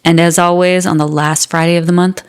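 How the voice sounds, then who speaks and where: A woman's voice: lowish voice, level tone, a little fast, clean tone, close to an everyday voice. An actress playing a composed, earnest woman who hosts a music radio show, reading a scripted sign-off in a radio drama.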